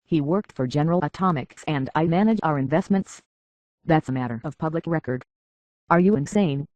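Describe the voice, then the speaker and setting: A woman's voice: low-pitched, brisk, a little mellow, dry recording, lo-fi sound. A woman voicing a haughty, petulant rich heiress in a robot body for a video game, with pompous, scornful, theatrical diction through a metallic filter. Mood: indignant.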